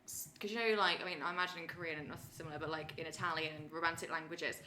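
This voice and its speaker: A woman's voice: sits low, steady tone, fast, bright, forceful tone, slight background noise. A woman guesting on a podcast as a language expert, explaining things informally in plain words with examples. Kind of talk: casual explanatory podcast chat.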